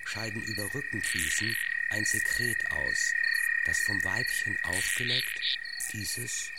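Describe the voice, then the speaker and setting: A man's voice: lowish voice, big pitch swings, slow pace, gravelly, poor audio. A man reading scripted scientific narration in a factual, lecturing manner, like a documentary text. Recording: voice over loud electronic music. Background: electronic music with insect-like chirping.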